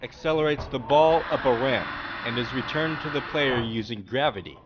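A man giving a plain, measured voice-over that explains how a machine works. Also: mid-range voice. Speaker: narrator. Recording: dull lo-fi sound with clear background noise.

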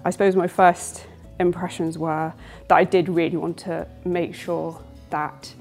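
Reflective young woman, low voice. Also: flat delivery, measured pace, darkish tone, faint music behind. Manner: reflective, hesitant with ums. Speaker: interior design student. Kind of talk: reflective presentation. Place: ornate synagogue hall.

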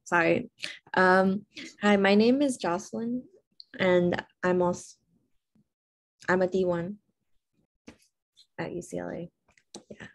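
Gravelly-voiced young woman, hesitant. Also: on the low side, steady tone, takes it slowly, pauses often. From Northern California. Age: young adult. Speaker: dental student.

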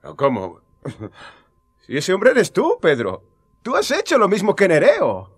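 A man's voice: high voice, huge pitch swings, unhurried, breathy edge, no room sound, clean studio sound, exaggerated voice. An actor playing a down-to-earth male storyteller in a radio drama, speaking colloquially. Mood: gently reproving.

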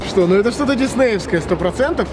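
A young man with a high voice tosses out casual guesses in a quiz. Music is clearly audible behind him. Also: animated, fast. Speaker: quiz contestant guessing cartoons. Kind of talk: casual chat. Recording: music over the voice. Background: background music.

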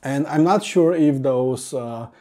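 A man with a dark, mellow voice of middling pitch delivers a gear review. He starts his phrases with emphasis and lets them settle more softly, in a semi-scripted reviewer's style. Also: slow.

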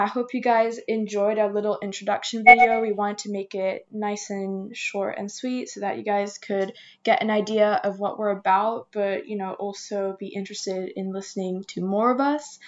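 A woman around twenty talks casually as a podcast co-host. Her voice sits at a middle pitch, and the recording is a little dull and lo-fi. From Hong Kong, French-speaking household. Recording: slightly dull, muffled microphone sound.

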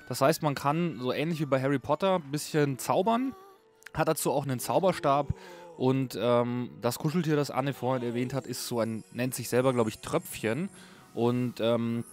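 Casting: male video host, chatty informal game reviewer, medium pitch, music underneath. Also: darkish tone. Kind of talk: casual unscripted game review commentary. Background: music under a voice-over.

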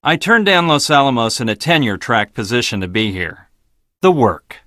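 An actor playing an arrogant, blunt, logical research scientist in a video game, with a clipped, dismissive, pedantic delivery. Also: male voice, medium pitch, sing-song, normal speed, sharp tone, no echo, studio quality. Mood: dry, matter-of-fact.

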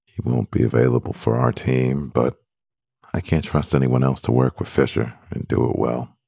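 A man playing a stressed, devoted CEO boyfriend in an intimate ASMR roleplay, murmuring with a husky, gravelly voice and pauses. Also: a bass voice, steady tone, dark tone, no room sound, clean studio sound, heavily acted. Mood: weary, tender.

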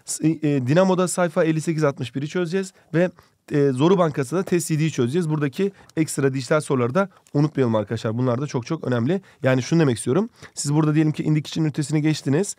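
Fast-talking, higher-voiced Turkish male teacher. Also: darkish tone, no echo. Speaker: English teacher. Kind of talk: scripted lesson with playful banter.

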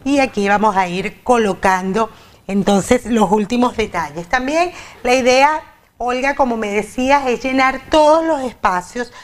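Woman TV host, fairly high voice, lapel mic in a studio kitchen, upbeat and talking to the audience. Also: unhurried. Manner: upbeat, addresses viewers directly. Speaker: TV show host. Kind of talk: cooking segment hosting.

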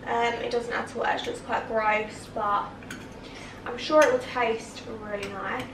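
A chatty young woman with a high, bright-sounding voice talks to camera at home, gushing with excitement and lots of fillers. Her voice is caught close on the camera mic, with a faint hum of room noise underneath. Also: unhurried. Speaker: vlogger. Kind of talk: casual cooking vlog. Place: home kitchen and living room, indoors.

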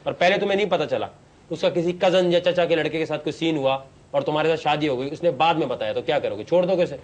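A man's voice: fairly high pitch, fast talker. A man in his thirties hosting an audition show in a TV studio, grilling a contestant with blunt, mocking questions. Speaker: show host and audition judge. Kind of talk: teasing, challenging audition interview. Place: TV studio set, seated at a desk.

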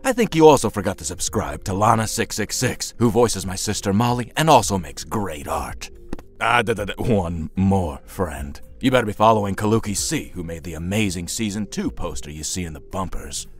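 A man's voice: sits low, animated, moderate pace, faint music behind. A man playing a hot-tempered, sarcastic mobster in a cartoon audio drama. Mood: pushy, threatening.

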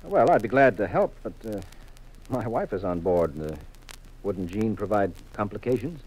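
An actor playing an easygoing, dryly amused detective in a 1950s radio drama. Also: male voice, fairly low pitch, animated, normal speed, darkish tone, slightly rough, rough recording. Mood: reluctant, amused. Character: easygoing, wry male detective, a married man.

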